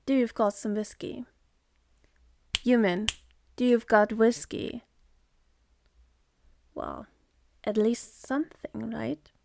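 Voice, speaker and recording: A woman's voice: fairly high pitch, steady tone, slow pace, vocal fry, pauses often, warm tone. A woman voicing a sardonic, seductive demon in an ASMR roleplay, mocking and contemptuous, speaking close to the mic. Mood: exasperated.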